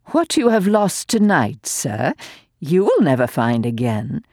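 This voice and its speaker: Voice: medium pitch, wildly swooping pitch, a little slow, darkish tone, no room sound, studio quality, full character voice. A reader voicing a spiteful, venomous old aristocratic woman who sneers and gloats theatrically. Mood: sneering.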